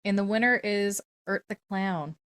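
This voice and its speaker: A woman's voice: medium pitch, moderate pace, fairly bright tone. A woman hosting a podcast in casual chat, presenting award categories in an informal, casually profane way. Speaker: podcast host.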